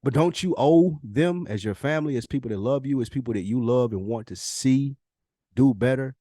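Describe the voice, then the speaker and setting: A man co-hosting a podcast speaks in relaxed, loose banter, teasing his friend and slipping into acted-out quotes as he goes. His voice sits at an ordinary pitch for a man. The sound is dry and close to the mic, with no room around it. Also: moderate pace, no echo. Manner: joking, teasing, acts out quotes. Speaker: podcast co-host. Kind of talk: casual chat.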